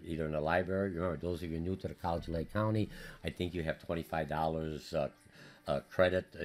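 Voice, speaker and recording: A man with a Polish accent, a college business instructor giving a hurried, energetic recorded class update full of fillers and restarts. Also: deep voice. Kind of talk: recorded course update lecture.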